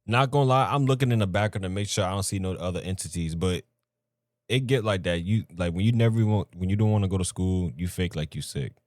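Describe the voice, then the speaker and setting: A man's voice: low voice, fast, darkish tone, dry recording. A man hosting a reaction video, giving casual commentary in a slangy, informal way with some swearing.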